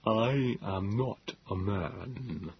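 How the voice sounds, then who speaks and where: Male narrator voicing a cold, quiet, non-human being; slow, hushed, distant. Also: fairly low pitch, dull audio, slight background noise. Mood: cold, calm.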